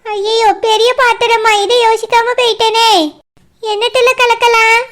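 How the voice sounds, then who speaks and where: Fretful, sarcastic cartoon grandmother played by a woman, Kanyakumari Tamil accent, artificially very high, noticeable noise behind. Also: little pitch movement, a little slow. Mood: worried. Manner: grumbling, sarcastic. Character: fretful, scolding old village grandmother. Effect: pitched-up cartoon voice.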